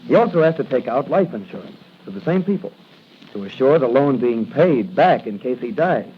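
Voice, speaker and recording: A man's voice: medium pitch, expressive, normal speed, lightly acted. An actor playing a confident young policeman hero in 1940s radio style, on a muffled, hissy old recording. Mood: confident, determined. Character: confident crime-fighting young policeman hero with secret masked identity.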